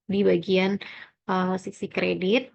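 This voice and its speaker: A young woman giving an informal step-by-step tutorial, halting and hesitant as she thinks aloud. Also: lowish voice, flat delivery, creaky, darkish tone, dull audio. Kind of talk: informal accounting tutorial walkthrough.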